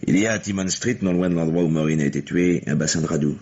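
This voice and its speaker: An actor playing a steady, commanding police lieutenant with a measured delivery in an old-fashioned French radio mystery. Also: male voice, low-pitched, slightly rough. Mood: sober, reporting. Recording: dull, noisy old radio recording.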